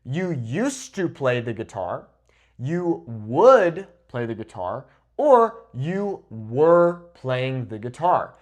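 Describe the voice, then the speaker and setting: A man's voice: medium pitch, sing-song, slow. A man in his thirties giving an instructional language lesson to camera in an emphatic, didactic way, checking in often with the listener. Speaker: Spanish teacher. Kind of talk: instructional lesson to camera, switching between English and Spanish examples. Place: indoors, in front of a whiteboard. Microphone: lapel mic clipped to the shirt.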